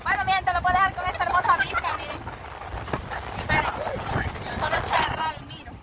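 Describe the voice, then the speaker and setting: A woman's voice: very high voice, fairly even intonation, fairly quick, a loud crowd. Chatty woman vlogging from a noisy truck. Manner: chatty, keeps calling out to her viewers. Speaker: vlogger filming a family trip. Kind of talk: casual vlog narration to viewers. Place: open-sided truck on a country road. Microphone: handheld phone camera. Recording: loud wind and engine noise, voices around.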